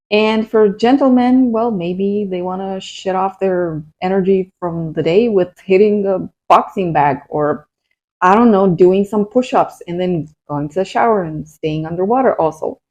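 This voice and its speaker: Soft-spoken woman coach. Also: medium pitch, dull audio. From Romania. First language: Romanian. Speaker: breathwork coach. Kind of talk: casual advice vlog.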